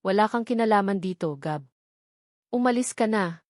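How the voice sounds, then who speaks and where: A woman's voice: medium pitch, expressive, normal speed, darkish tone, dry recording, natural voice. A defiant young mother guarding a secret, acted by a woman narrator. She pleads with emphasis and fear, in a mid-range voice. It is storybook romance drama with a very dry sound. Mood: angry, tearful.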